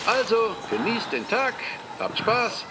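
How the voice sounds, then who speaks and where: A pink rabbit holiday-camp animator in a radio play, high and wildly lilting. The cheer is relentless and singsong, pushed out through a loudspeaker with heavy noise all around it. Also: male voice, sing-song, takes it slowly, vocal fry, heavily acted. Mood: gushing cheer. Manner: gushing, singsong announcing. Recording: very noisy outdoor loudspeaker sound.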